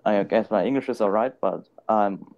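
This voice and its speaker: Halting young man, low voice. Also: a little mellow, highs cut off, slight background noise. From Germany. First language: German. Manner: hesitant, halting, frequent ums and light laughter. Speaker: guest. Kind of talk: casual live chat.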